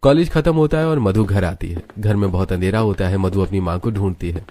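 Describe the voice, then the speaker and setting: A man's voice: deep voice, a little fast, dark tone. A man narrating a scripted story as its narrator.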